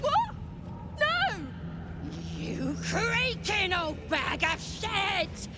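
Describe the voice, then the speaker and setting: A woman's voice: very high voice, big pitch swings, drawn-out words, full character voice. A woman voicing a scrappy, defiant female goblin in a fantasy game, coarse and indignant. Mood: outraged. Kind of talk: video game character acting. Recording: loud music behind.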